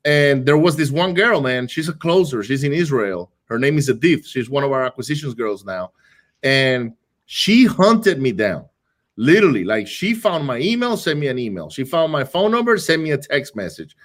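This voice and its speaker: A man from Venezuela, a business owner, talking casually in an interview, quick and animated, often restarting and repeating words. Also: medium pitch. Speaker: real estate wholesaling business owner.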